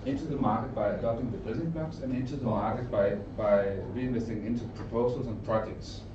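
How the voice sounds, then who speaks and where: A middle-aged man from Denmark with a Danish accent, giving an informal conference presentation in short, halting, explanatory phrases. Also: mid-range voice. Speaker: trainer and consultant giving a presentation. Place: indoor event room with an audience. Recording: roomy, distant mic, narrow muffled sound, noisy.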